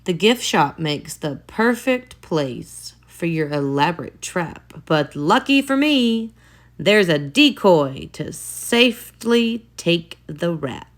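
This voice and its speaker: A woman teacher reading a children's picture book aloud, expressive, with soft whispered asides. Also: fairly low pitch, slow, slight background noise.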